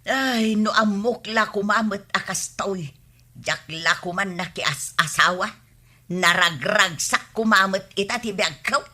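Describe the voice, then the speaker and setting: A woman's voice: medium pitch, a little slow, sharp tone. A woman playing a long-suffering village wife in an Ilocano comedy radio drama, plaintive and pleading.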